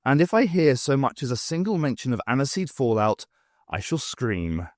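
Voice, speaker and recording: A man voicing a brisk, stern woman adventurer in an audiobook, commanding and dryly exasperated. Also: medium pitch, big pitch swings, dry recording, clean studio sound, lightly acted. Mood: stern exasperation.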